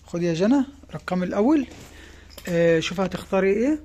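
A man's voice: sits high, expressive, fast, dark tone. A man with an Egyptian Arabic accent, a fishing tackle shop owner, casually presenting giveaway prizes in his shop and coaxing children along, often checking in with "tamam?".

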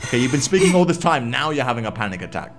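A young man giving casual, excitable, jokey playthrough commentary as a gaming host. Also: medium pitch, racing. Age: young adult. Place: small room, seated indoors. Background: game's film music.

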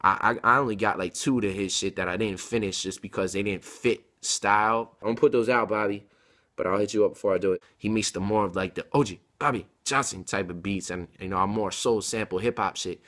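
A man's voice: on the low side, brisk, fairly bright tone. A man in his twenties talking casually to camera in an emphatic, slangy, rambling way, recorded indoors on a laptop mic. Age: 20s. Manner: slangy, emphatic, rambling street-style talk. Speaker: rapper and video blogger. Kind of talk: casual vlog talk to camera. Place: home room with bookshelves, indoors. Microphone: laptop webcam mic at arm's length.

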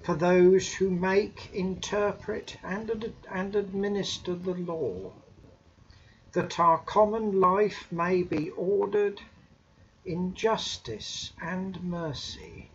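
A man in his fifties leading formal prayers in a solemn, measured manner with deliberate pauses. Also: high voice. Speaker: intercessor leading prayers in a church service. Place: domestic room, indoors. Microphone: webcam or laptop microphone. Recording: muffled webcam audio with background noise.

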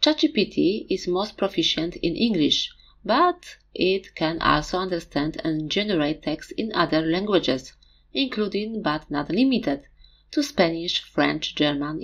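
Low-pitched woman explainer, English. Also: fairly bright tone. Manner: simple, slightly unidiomatic English in short phrases. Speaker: host of an educational video channel. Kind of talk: scripted explainer narration. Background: background music.

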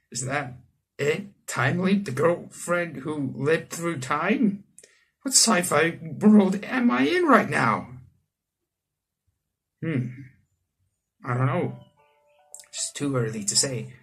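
A man's voice: fairly high pitch, very animated, slow, long pauses, a bit bright, breathy edge, soft background music. A young man from South Africa reading a visual novel aloud with casual, rambling commentary and joking asides. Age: young adult. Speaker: let's player. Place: small room indoors.

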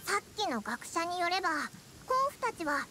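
A woman voicing a small childlike fairy companion in an anime game, perky and bossy, with boyish rough speech. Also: animated, normal speed, pushed and bright, slight background noise, heavily acted. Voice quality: squeaky, childlike.

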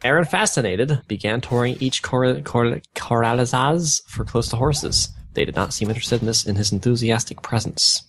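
A man's voice: medium pitch, moderate pace, few pauses, slight background noise. A man reading fan fiction aloud, stumbling over odd words and breaking off with casual joking comments. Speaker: reader of fan fiction on a gaming video.

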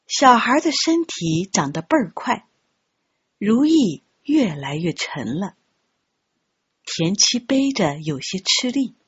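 A woman narrating an audiobook story in an expressive, scripted storytelling style. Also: fairly high pitch, slightly rough, dull audio. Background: background music.

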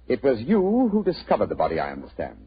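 A man playing an incisive, self-assured British gentleman detective, precise and courteous, in a 1940s radio drama. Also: on the low side, huge pitch swings, fast talker, slight background noise. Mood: probing. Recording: muffled old radio recording.

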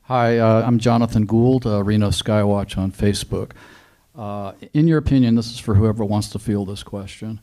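A man's voice: lowish voice, normal speed, warm tone. A man from the audience introducing himself and asking the panel a question, polite and slightly hesitant.

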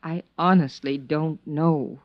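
An actress playing an anxious, sorrowful wife in a 1950s radio drama, speaking in a hesitant, polite, restrained way. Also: female voice, low voice, steady tone, takes it slowly. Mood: guarded, distressed. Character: anxious, guarded, sorrowful wife of a former convict. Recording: muffled old radio broadcast recording.

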